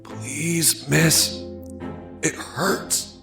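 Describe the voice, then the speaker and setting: An injured male patient played by a man speaks extremely slowly, with a creaky, pained voice. He is weak, halting and pleading in an audio drama, with music clearly playing underneath. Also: a little high, fairly even intonation, heavily acted. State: in pain, weak.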